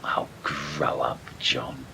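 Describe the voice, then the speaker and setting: Man acting a weary male castaway, extremely low pitch, dour, sceptical, radio play, faint ambience. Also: drawn-out words, gravelly, slight background noise, full character voice. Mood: irritated. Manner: dour, deadpan.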